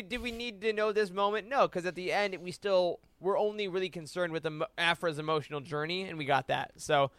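An American man with a high, clear voice chats as a podcast panelist. He is animated and emphatic, often joking, and the sound is dry and close. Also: dry recording. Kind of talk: casual fan discussion.

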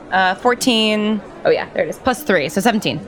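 A woman playing a tabletop game, chatting casually and playfully about what her character does. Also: medium pitch.

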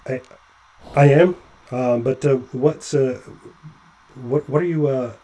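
An actor playing a nervous, chatty male doctor climbing along a ship's hull, halting with stammering restarts. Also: medium pitch, warm tone. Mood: anxious. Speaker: physician on a spacewalk. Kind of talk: audio drama acting.

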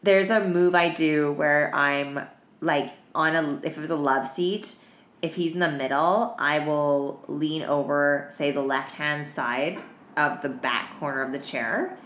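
A woman podcast co-host telling stories in casual, crude slang with an animated, giggly manner. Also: deep voice, unhurried. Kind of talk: casual chat, storytelling. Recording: roomy, echoey room.

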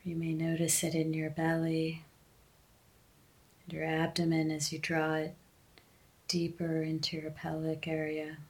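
A woman leading a guided breathing meditation, giving gentle instructions with long pauses. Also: deep voice, monotone.

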